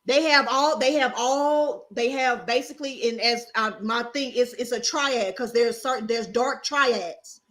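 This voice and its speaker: A woman co-hosting a casual chat show, animated and swearing freely, often restarting her sentences. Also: a little high, measured pace, hardly any pauses. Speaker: co-host.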